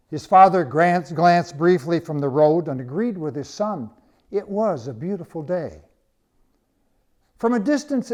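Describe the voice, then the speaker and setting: Higher-voiced man narrating a story. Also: darkish tone. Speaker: author reading own story.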